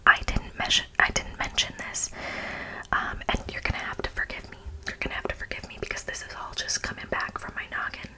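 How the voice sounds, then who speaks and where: A woman speaks entirely in a breathy whisper, in the style of an ASMR video. Her delivery is informal and conversational. She stresses her points and often repeats phrases for emphasis. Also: a little fast, low-quality recording. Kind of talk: whispered ASMR, part reading, part casual commentary.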